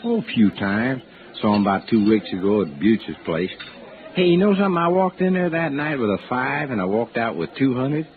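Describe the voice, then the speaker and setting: An actor playing an easygoing, folksy street informant in an old-time radio detective drama. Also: male voice, mid-range voice, sing-song, moderate pace, phone-quality sound, close to an everyday voice. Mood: teasing, easygoing. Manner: drawling, folksy, colloquial. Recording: noisy band-limited old radio recording.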